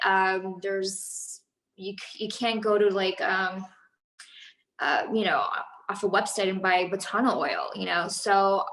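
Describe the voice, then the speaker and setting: Bright-voiced woman chatting hesitantly. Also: medium pitch, roomy sound, rough recording. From Los Angeles, USA. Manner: chatty, hesitant, many fillers and restarts. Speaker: business founder, guest. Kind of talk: casual interview chat.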